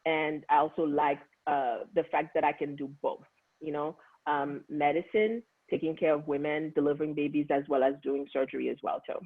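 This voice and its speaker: Man, Haitian accent, OB-GYN doctor, earnest and unhurried, fairly high voice, thin phone-like sound. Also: level tone. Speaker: OB-GYN physician. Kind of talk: panel conversation. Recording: tinny phone-like line.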